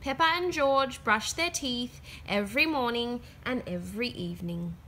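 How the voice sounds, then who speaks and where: A woman reading a children's book aloud as an expressive, dramatic storyteller. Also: a little high, normal speed, a little hiss or hum.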